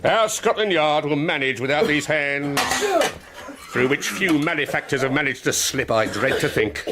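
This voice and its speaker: An actor playing a pompous, aggrieved middle-aged British police inspector forced into retirement, with orotund radio drama delivery. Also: male voice, fairly high pitch, expressive, normal speed, crowd noise. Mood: self-important.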